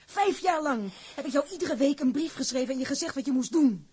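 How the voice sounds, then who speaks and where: An actress playing a strong-willed, shrewd woman in a radio drama, urgent and persuasive, with a breathy, husky voice. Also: high-pitched, animated, fairly quick, mellow. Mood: firm.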